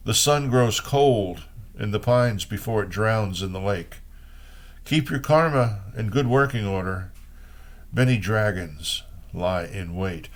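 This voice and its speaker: A man reading poems aloud as host, in an unhurried way with pauses between short phrases. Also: fairly low pitch, a bit bright. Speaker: poetry reader and host. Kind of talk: poetry reading with brief spoken commentary.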